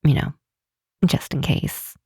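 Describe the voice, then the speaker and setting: A woman playing a caring, teasing young best friend in an ASMR roleplay, speaking gently and hesitantly with soft pauses. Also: no room sound, clean studio sound, lightly acted. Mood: playful, fond.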